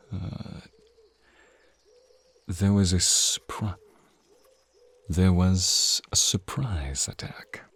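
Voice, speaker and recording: Man voicing a slow, husky, deep serpent-man. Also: dry recording, full character voice. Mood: wistful, grieving. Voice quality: soft, breathy, husky. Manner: teasing, courtly, drawn-out phrasing. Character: flirty serpentine naga prince, suave, protective, wistful beneath. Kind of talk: ASMR roleplay acting addressed to the listener.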